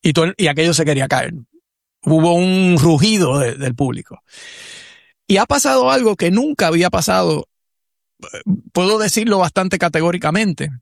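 A man's voice: high-pitched, creaky, no room sound, clean studio sound. A man with a Puerto Rican Spanish accent, a co-host and professor, giving reflective talk-show commentary full of restarts and fillers.

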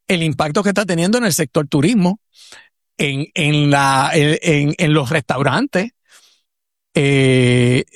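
A man speaking Spanish with a Puerto Rican accent, his voice sitting a little high. He muses aloud as a talk-show commentator, circling back with fillers and restarts. The sound is dry and close. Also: dry recording. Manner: reflective, digressive, many restarts and fillers. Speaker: radio co-host, commentator; professor.

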